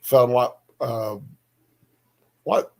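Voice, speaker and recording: A man co-hosting a livestream in casual chat, giving brief, hesitant, low-key remarks. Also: fairly even intonation, slow pace, gravelly. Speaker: livestream co-host.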